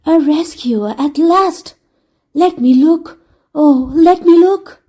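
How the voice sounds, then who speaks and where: A woman reading a storybook aloud, voicing a gloomy male egg prince who talks in a slow, mournful, drawn-out way. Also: sits high, warm tone, voice pushed up.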